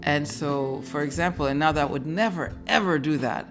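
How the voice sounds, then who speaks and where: A woman in her fifties tells her own story in a low, unhurried voice. She sounds reflective and candid as she talks, with soft music barely there underneath. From born in New York, raised in Haiti. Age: 50s. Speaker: guest telling her migration story. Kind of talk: personal storytelling.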